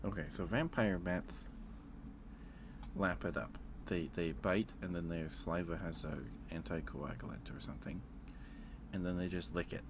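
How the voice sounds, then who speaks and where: A man's voice: sits low, steady tone, moderate pace, noisy background. A man chatting casually with livestream viewers as host, musing aloud in an informal, hesitant way.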